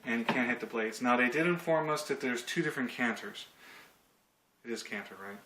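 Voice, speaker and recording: A man's voice: mid-range voice, a little fast. A man in his thirties giving a casual, rambling, joking commentary as he reviews a product. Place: home kitchen, indoors. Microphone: handheld camera at a short distance. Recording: echoey kitchen with clear noise and clicks.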